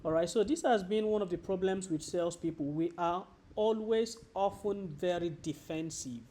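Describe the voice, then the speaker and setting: A man with a Nigerian accent giving a training presentation as a sales coach, instructive and deliberate, posing rhetorical questions. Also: sits high, warm tone.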